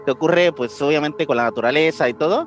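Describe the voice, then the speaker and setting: High-voiced Puerto Rican man, music behind. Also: quick pace. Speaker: podcast host. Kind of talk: casual podcast talk with explanations.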